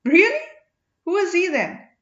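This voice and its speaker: A woman's voice: very high-pitched, wildly swooping pitch, measured pace, a bit bright, voice pushed up, heavily acted. A woman voicing an eager, worried and devoted village wife in an audiobook, with breathless questioning. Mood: eager, excited. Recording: echoey room, dull lo-fi sound.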